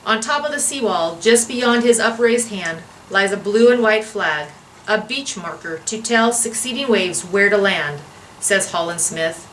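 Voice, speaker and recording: A woman with a medium-pitched voice reads a history book aloud. Her delivery is earnest and formal, that of a guest reader rather than an actor. There is faint noise behind her. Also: fairly bright tone, rough recording.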